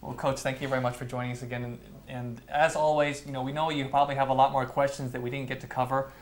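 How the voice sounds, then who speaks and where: A man's voice: mid-range voice, flat delivery, fast. A man hosting an interview show, asking questions in a loose, conversational way. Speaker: show host.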